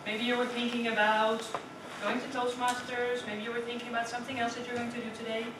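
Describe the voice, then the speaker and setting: A woman's voice: fairly high pitch, completely flat delivery, fast. Dutch woman giving a speech in an echoey room. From Netherlands. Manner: chatty, asks the audience questions, often adds "right?". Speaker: club speaker. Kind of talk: prepared public speech. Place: meeting room. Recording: echoey room from far off with noise.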